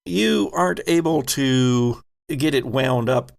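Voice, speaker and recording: Gravelly-edged male game master. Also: mid-range voice, takes it slowly. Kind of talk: narrating a horror tabletop roleplaying game.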